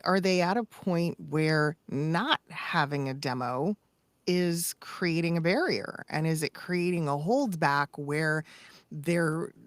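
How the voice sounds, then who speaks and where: A woman co-hosting a podcast as a voice actor and coach, explaining things casually with measured, emphatic phrasing. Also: deep voice, dry recording. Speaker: podcast co-host.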